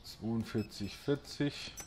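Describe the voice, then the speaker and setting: A man's voice: lowish voice, slow pace, noisy background. A man with a German accent giving casual let's-play commentary, reading prices aloud in short clipped phrases. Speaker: gameplay commentator.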